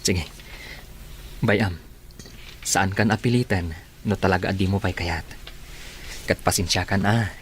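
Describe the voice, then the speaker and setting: A man playing a gentle, apologetic young suitor in a radio drama, speaking softly. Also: deep voice, steady tone, measured pace, long pauses, slight background noise.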